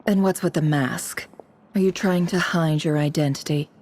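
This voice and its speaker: A woman's voice: sits low, fairly even intonation, moderate pace, a little mellow. A woman playing a shrewd, businesslike intelligence broker in a fantasy game, with a dry, brusque, skeptical manner. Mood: skeptical, cool. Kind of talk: video game character acting.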